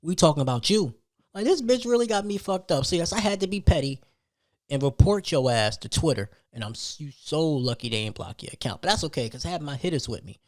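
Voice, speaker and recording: A man's voice: a little high, lively intonation, fairly quick, darkish tone. A man hosting a podcast, telling a personal story as a casual, slangy rant, leaning on repetition and rhetorical questions. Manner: emphatic, slangy, repeats phrases and rhetorical questions.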